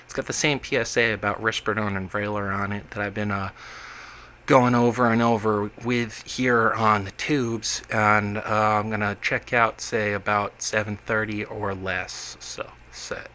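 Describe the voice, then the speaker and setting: Male vlogger, low-set voice with a sharp edge, wanders and stumbles through long halting asides. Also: level tone, a little hiss or hum. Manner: meandering, halting, many restarts and repeated words. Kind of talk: rambling monologue to camera.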